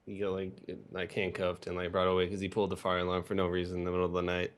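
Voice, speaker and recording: A man's voice: low-pitched, monotone, very fast, very noisy. A young man telling stories casually as a podcast co-host, rambling and swearing crudely for comedy. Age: young adult.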